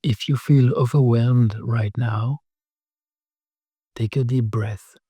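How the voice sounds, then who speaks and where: A man narrating a guided meditation in a soothing, measured way, speaking calm scripted instructions in short phrases. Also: medium pitch, slightly rough, no echo. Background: singing bowl tones.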